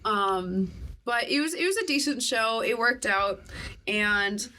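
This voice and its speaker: A young woman with a lingering cough, a podcast guest telling stories in animated casual chat and laughing often. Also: on the high side, unhurried, a little hiss or hum.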